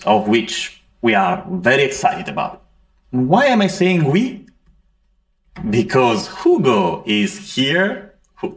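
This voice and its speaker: A man's voice: mid-range voice, creaky, a bit bright. A man from Italy with an Italian accent presenting at a conference, hesitant with many fillers. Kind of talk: conference talk.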